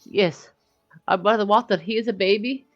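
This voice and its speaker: A game master voicing a frightened young girl who is watching over her little brother, pleading in halting bursts. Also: medium pitch, muffled recording, faint music behind, voice pushed up, close to an everyday voice. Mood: earnest. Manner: anxious, pleading, halting speech.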